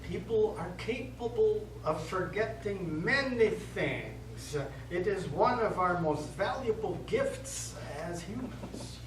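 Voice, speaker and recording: Stage priest, male actor: gentle, pastoral, measured; animated intonation, heard from far off in an echoey room. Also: high voice. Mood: consoling. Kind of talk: stage play acting. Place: theatre studio stage. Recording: echoey stage, distant mic, noisy.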